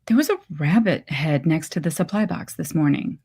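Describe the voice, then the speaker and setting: A woman's voice: sits low, animated, moderate pace, mellow, husky, heavily acted. Woman reading as an anxious, defensive mother. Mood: uneasy. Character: anxious, worn-down, defensive mother isolated at home.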